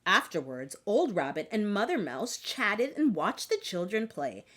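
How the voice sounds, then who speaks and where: Animated woman reading a children's storybook. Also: medium pitch, unhurried. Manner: expressive, dramatic read-aloud delivery. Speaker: children's book reader. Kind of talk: scripted storybook narration.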